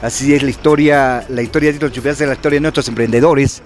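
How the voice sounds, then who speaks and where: A man's voice: medium pitch, fairly even intonation, fast, a little hiss or hum. A man, interviewed outdoors at a busy fair and speaking into a handheld reporter mic. He talks fluently and promotionally, with many fillers and tag questions. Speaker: bank executive interviewed. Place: outdoor fairground, busy event. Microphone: handheld reporter microphone.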